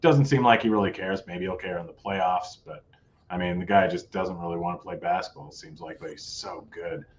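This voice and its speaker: A man's voice: sits low, animated, fairly quick, highs cut off. A man hosting a casual sports betting show, talking through his picks in a laid-back way, his voice a bit worn. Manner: laid-back, rattles off odds. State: losing his voice.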